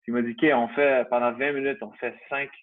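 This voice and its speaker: A man's voice: medium pitch, flat delivery, brisk. A man in his thirties with a Canadian French accent, a guest in a casual interview, talking hesitantly with many restarts. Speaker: guest, CrossFit gym owner. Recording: tinny phone-like line.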